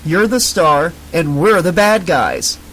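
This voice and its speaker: A woman's voice: lowish voice, animated, slow, a bit bright, slight background noise, exaggerated voice, rough recording. A man voicing a bossy, brash young woman directing a homemade film.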